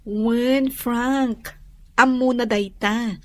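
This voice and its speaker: High-voiced, obliging Filipino young wife. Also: female voice, steady tone, drawn-out words, dry recording. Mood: reassuring. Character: obedient young wife, a student eager to please. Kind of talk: radio drama acting.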